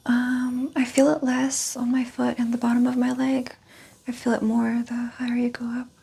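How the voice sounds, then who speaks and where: Woman, patient, husky whisper, flat, mellow, quiet replies. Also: on the high side, normal speed. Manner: quiet, breathy answers with soft murmured agreement. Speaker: patient in ASMR exam roleplay. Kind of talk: whispered ASMR roleplay.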